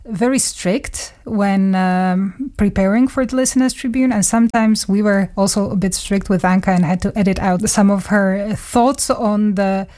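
A woman, a radio journalist reminiscing in an interview with thoughtful pauses. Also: medium pitch, dark tone. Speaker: radio journalist, studio guest.

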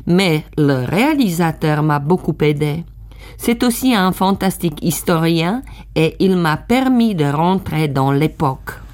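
A woman reading a voice-over translation of an interview in a calm, even way. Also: deep voice, unhurried.

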